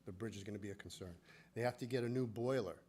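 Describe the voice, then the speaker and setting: A man's voice: on the low side, quick pace, a little mellow. A middle-aged or older man objecting informally at a public meeting, emphatic and repeating his point. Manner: emphatic, repetitive. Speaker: applicant's father at a public meeting. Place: indoor municipal meeting room. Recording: noisy town meeting room.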